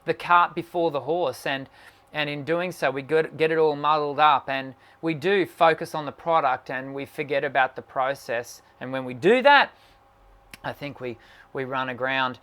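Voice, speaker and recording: A man's voice: moderate pace, a bit bright. A man, a singing teacher hosting a casual live stream, musing aloud and reading out viewer questions. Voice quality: naturally high voice. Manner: musing, reads viewer comments aloud, many fillers and restarts.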